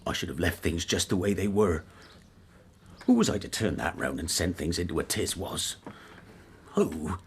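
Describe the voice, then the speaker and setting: A man's voice: deep voice, expressive, moderate pace, long pauses, a little hiss or hum. An actor playing a plain-spoken, remorseful middle-aged countryman in a naturalistic radio drama, reflective in manner. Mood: regretful.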